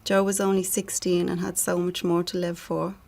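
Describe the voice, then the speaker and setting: A middle-aged woman with an Irish accent reads a prepared family tribute in a solemn, measured way. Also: lowish voice, level tone, vocal fry, darkish tone. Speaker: bereaved sister giving a family statement.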